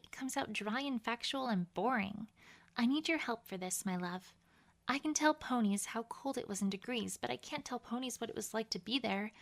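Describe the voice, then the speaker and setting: Woman as a wistful, slightly high-voiced scholarly mare. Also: close to an everyday voice. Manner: reflective, articulate. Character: aging scholarly female unicorn teacher, wistful, affectionate. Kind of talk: fan audio drama letter reading.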